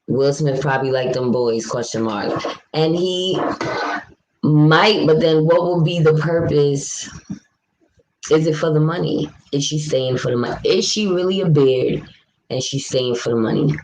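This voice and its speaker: A young woman from New York with a low, deep voice talks frankly and at length to her livestream viewers. She answers their comments in an easy, chatty way. A faint noise sits under the recording. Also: unhurried, a little mellow, rough recording. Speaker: livestream vlogger. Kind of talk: casual live chat, answering viewer comments.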